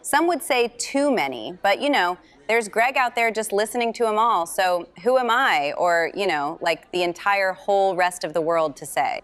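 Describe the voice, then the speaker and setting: A woman's voice: medium pitch, animated, a bit bright, natural voice. Teasing, nosy aunt, played by a woman. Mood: dry, teasing. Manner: playful, wry, drawn-out emphasis. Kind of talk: naturalistic audio drama dialogue.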